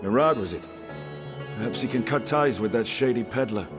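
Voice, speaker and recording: An actor playing a brooding, curt warrior in a fantasy game, with terse, serious and formal speech. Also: male voice, medium pitch, moderate pace, over music, close to an everyday voice. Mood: reflective. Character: brooding, curt male warrior, wary and impatient.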